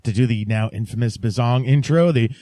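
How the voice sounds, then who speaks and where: A man's voice: mid-range voice, fairly quick, warm tone, no room sound. Male podcast host, mid-range voice, casual and chatty with playful emphasis, dry studio-close sound. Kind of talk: casual podcast chat addressed to listeners.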